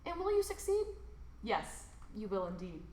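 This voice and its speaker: A woman reads a rhyming children's picture book aloud expressively, with theatrical emphasis and drawn-out words. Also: mid-range voice, big pitch swings, darkish tone, roomy sound, a little hiss or hum. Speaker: storybook reader.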